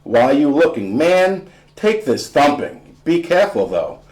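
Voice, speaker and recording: A man's voice: on the high side, sing-song, measured pace, darkish tone. Man voicing a cocky, rhyming teen baller. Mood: boastful. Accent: American. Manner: rhythmic, punchy rhyming delivery. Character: cocky teenage boy basketball player, rhyming braggart.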